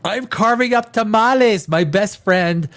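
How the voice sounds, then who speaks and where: A man's voice: sits high, unhurried, a bit bright. A man hosting a live stream, chatting casually with his viewers and reading their comments. He rambles and repeats himself, and sounds stuffed up from a cold. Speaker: livestream host.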